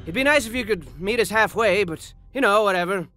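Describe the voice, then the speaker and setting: High, zany, melodramatic cartoon narrator. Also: male voice, animated, a little fast. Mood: exasperated, flippant. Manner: theatrical, swerving from grandiose storytelling to slangy asides. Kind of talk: comic scripted game narration. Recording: music under the voice.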